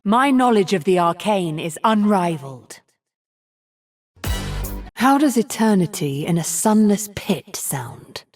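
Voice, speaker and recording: A woman voicing an ancient, scheming sorceress in a video game, husky, theatrical and haughty in measured phrases. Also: medium pitch, lively intonation, a little mellow, soft background music. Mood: haughty, amused. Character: ancient scheming sorceress, proud, vengeful, imperious. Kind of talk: video game character voice lines.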